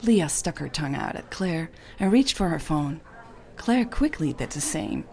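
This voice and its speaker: A woman narrating audio fiction as a podcast host, in an expressive storytelling style. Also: low-pitched, measured pace, a little hiss or hum.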